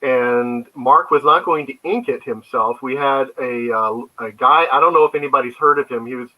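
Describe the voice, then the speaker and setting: A man's voice: medium pitch, normal speed, sharp tone, poor audio. An older man, a guest comics creator, telling rambling anecdotes in a casual interview.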